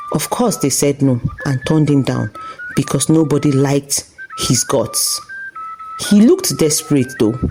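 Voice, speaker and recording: A woman's voice: deep voice, mellow, rough recording. A woman storyteller reading a scripted folktale narration.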